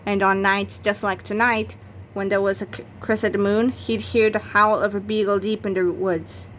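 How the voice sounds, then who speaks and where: A woman's voice: medium pitch, little pitch movement, normal speed, noisy background. A woman narrating a read-aloud of a children's comic novel, acting out its exclamations and sound effects. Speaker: book narrator.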